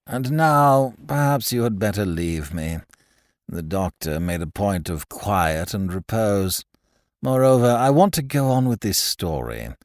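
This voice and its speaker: Guy putting on a low quiet drawl, smug lazy bedridden young man, dry close sound. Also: animated, a little slow, no room sound. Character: languid, smug young man laid up in bed.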